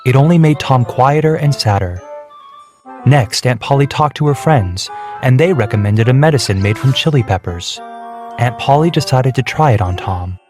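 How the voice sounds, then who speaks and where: A man's voice: mid-range voice, animated, normal speed. Male storybook narrator over music. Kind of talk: scripted children's story narration.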